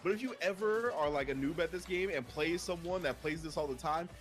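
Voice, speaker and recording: A man's voice: a little high, a little fast. Explanatory man commentating a match. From California. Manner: explanatory, says "right?" often. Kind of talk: casual game commentary.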